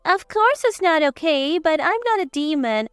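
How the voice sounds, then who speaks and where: A woman's voice: high-pitched, sing-song, moderate pace, a bit bright, no echo, clean studio sound, voice pushed up. A woman voicing a domineering older mother-in-law who lectures and scorns in a bossy way, in a dramatized story. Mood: scornful.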